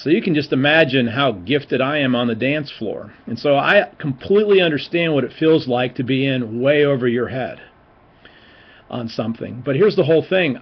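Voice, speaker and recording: Forties guy, professor talking to his online class, lots of ums, middle pitch, kinda muffled lo-fi audio. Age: 40s. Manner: informal, encouraging, frequent fillers and restarts. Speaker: seminary professor. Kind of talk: recorded online class lecture.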